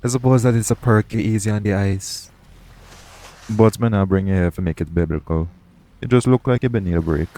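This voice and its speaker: A man's voice: on the low side, fairly quick, dark tone, close to an everyday voice. A man playing a roguish, protective pirate captain in an intimate audio roleplay, talking tenderly to one listener in a colloquial seafarer's dialect. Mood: teasing, concerned.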